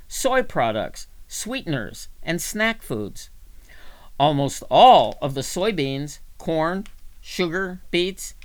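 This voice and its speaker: Female narrator for magazine articles: animated intonation, measured phrasing, dry sound with little room. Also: low voice, dry recording. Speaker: volunteer reader for an audio reading service. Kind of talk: scripted narration, reading magazine articles aloud.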